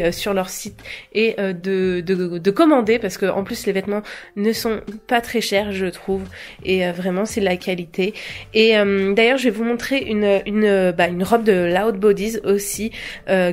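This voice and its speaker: A woman's voice: medium pitch, steady tone, moderate pace. A young woman chatting casually to camera about clothes she bought, hesitant, with many fillers and small laughs. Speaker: fashion vlogger. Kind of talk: casual haul chat to camera.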